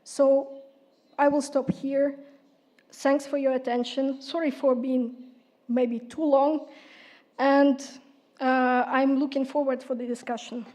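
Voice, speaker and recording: A woman speaker closing her conference talk in a slow, apologetic way. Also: high-pitched, little pitch movement, a little mellow.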